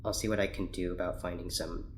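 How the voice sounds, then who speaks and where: A man playing a guarded, formal scientist in an audio drama, speaking in a measured, secretive way. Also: low-pitched, flat delivery, slight background noise, close to an everyday voice. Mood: hesitant, contrite.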